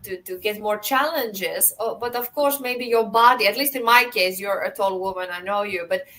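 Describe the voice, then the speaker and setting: A woman hosting a podcast interview, with a voice at a middle pitch. She asks her questions in a friendly, conversational way and talks over a video call. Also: moderate pace, pushed and bright. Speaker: podcast host. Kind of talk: casual interview chat.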